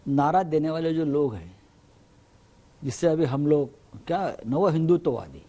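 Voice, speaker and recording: Mellow, slightly high male interviewee. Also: animated, measured pace. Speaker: interviewee giving a political reaction. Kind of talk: spontaneous spoken comment.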